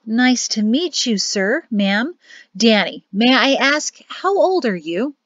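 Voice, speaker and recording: A courteous male officer of measured, grave authority, acted out by a woman narrator in an audiobook. The sound is close and dry but slightly dull. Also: a little high, big pitch swings, fairly bright tone, no echo, full character voice. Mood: courteous, kind. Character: commanding, courteous male military officer, wryly kind.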